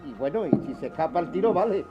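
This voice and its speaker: A man's voice: fairly high pitch, expressive, fast. A man arguing bluntly and insistently in a casual radio panel chat. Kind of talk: casual studio discussion. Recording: dull lo-fi radio audio with music.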